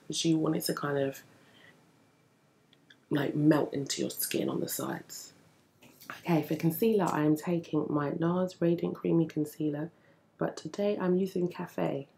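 Young female beauty vlogger, deep gravelly morning voice, chatty upbeat tutorial talk, camera mic indoors. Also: darkish tone. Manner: chatty, upbeat, explaining while applying makeup. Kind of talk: casual makeup tutorial chat. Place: indoor room in front of a bookshelf. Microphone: camera at desk distance.

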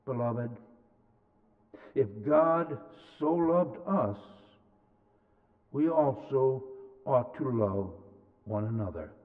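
A middle-aged man reads scripture slowly and deliberately from a pulpit. His voice is tinny and narrow, like a phone line, and a long reverb trails each phrase through the church sanctuary. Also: mid-range voice, animated. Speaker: scripture reader. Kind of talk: slow scripture reading. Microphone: pulpit mic, far camera.

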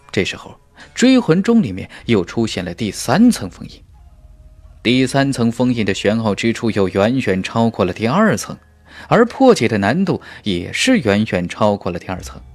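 Animated low-voiced male audiobook narrator. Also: normal speed, dry recording, faint music behind. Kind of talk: scripted dramatic fantasy novel narration.

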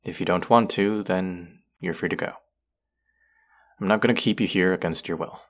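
A man's voice: low-pitched, moderate pace, lightly acted. A young man acting as an earnest, wry young vampire in an intimate audio roleplay drama. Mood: earnest pleading.

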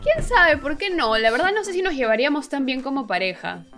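Young woman, higher voice, chatting casually. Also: sing-song, brisk, fairly bright tone, soft background music. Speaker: co-host of an online chat show. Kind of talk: casual chat to viewers.

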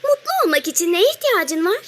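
A cheerful little female ladybug from a children's cartoon. The voice is extremely high and swoops with lively intonation. It is caring and encouraging, set over music. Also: quick pace. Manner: encouraging, gently questioning, sings at times. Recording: music behind the voice.

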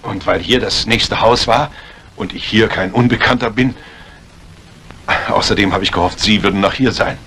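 Low-voiced calculating doctor. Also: male voice, normal speed, bright, forceful tone. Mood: composed, evasive. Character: calculating, outwardly composed doctor villain. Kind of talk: 1950s German radio crime drama acting. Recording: old radio play recording, faint hiss.

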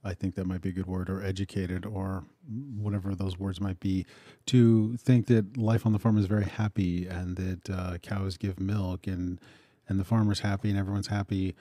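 A man in his forties from Western Pennsylvania, co-hosting a radio talk show in an easygoing, halting way, with frequent uhs. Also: deep voice, level tone, dark tone, dry recording. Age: 40s. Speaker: co-host.

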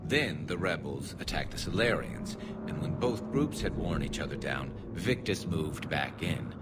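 An actor playing a wry, battle-seasoned, loyal alien soldier in a video game. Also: male voice, extremely low pitch, animated, a little slow, very noisy. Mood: wry, grave.

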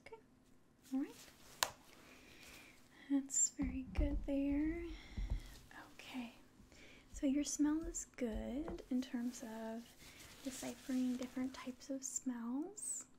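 A young woman whispering softly and breathily in a gentle, hesitant ASMR medical exam roleplay, close to the mic. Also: high-pitched, slow pace, long pauses, background noise. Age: young adult. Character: polite, clinical alien examiner. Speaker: ASMR performer. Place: small room indoors.

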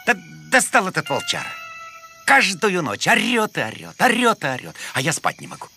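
A man's voice: sits high, wildly swooping pitch, moderate pace, bright, forceful tone, exaggerated voice. A man voicing a grumpy, stubborn know-it-all bear in a cartoon, blustering and whining as he stammers complaints. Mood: grumbling. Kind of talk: animated cartoon voice acting. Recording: music behind the voice.

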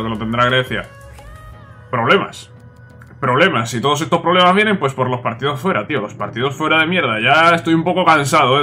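A man's voice: medium pitch, pushed and bright. A man commenting on a football game while he has a sore throat, chatty and grumbling, repeating himself. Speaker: gaming YouTuber.

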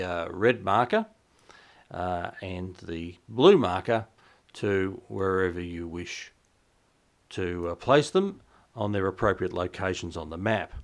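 A man in his fifties presenting an explanatory tutorial in a measured, instructional way, with clear enunciation. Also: lowish voice. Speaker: presenter of a shortwave radio review. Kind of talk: explanatory tutorial to camera and over screen demo. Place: small indoor home radio room.

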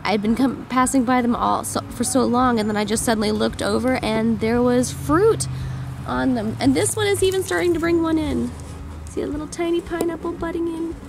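A woman's voice: high-pitched, moderate pace, creaky, background noise. Enthusiastic woman on a garden tour. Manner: enthusiastic, gushing about plants. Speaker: homesteading vlogger. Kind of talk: casual garden tour vlog. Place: outdoor garden.

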